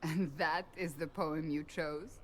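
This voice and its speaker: An actress playing a stern, earnest, devout warrior woman in love, speaking in a grave, formal, measured way in video game dialogue. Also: low voice, steady tone, slight background noise. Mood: skeptical.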